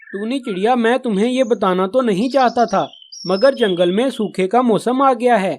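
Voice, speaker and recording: A man's voice: high voice, moderate pace, slight background noise, lightly acted. A man voicing a caring, responsible male pigeon in a children's cartoon, speaking in an earnest, explanatory way. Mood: grave, worried. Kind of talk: Hindi children's cartoon dialogue.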